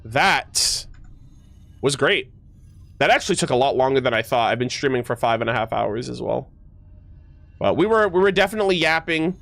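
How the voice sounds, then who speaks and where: A man's voice: medium pitch, expressive, normal speed, creaky, long pauses, fairly bright tone, no echo, faint music behind. A man streaming video games, chatting casually with viewers in informal, teasing gamer slang. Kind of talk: casual livestream chat with viewers.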